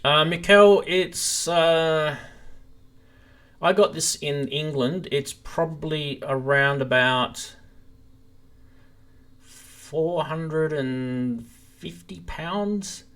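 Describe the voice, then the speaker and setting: A man's voice: on the high side, extremely slow, long pauses, fairly bright tone. Casual man presenting a live stream demo. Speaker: host of a synthesizer live stream. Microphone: stream microphone.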